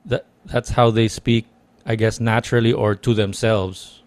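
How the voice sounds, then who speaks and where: A man's voice: fairly low pitch, little pitch movement, a little slow, no room sound. A man from the Philippines whose first language is Tagalog, co-hosting a casual podcast over a video call, thinking aloud with many restarts. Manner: reflective, circling back with restarts. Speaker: podcast co-host. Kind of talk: casual chat. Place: small room with dark curtain, indoors. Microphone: headset and studio mic on video call.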